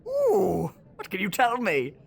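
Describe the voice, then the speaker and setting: Lively, swooping, cheeky young sleuth. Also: female voice, mid-range voice, slow pace, vocal fry, slightly rough, full character voice. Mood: curious. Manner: inquisitive, cheeky. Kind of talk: audio drama acting.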